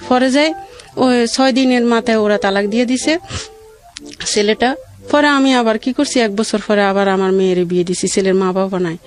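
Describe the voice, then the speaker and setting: A middle-aged woman with a colloquial regional Bangladeshi accent tells her own life story in a halting, rambling, plaintive way. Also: on the high side, soft background music. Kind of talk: emotional personal storytelling.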